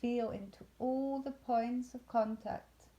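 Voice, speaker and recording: A woman leading a guided yoga nidra meditation in a soothing, unhurried way with long pauses. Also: fairly high pitch, steady tone, dark tone, highs cut off.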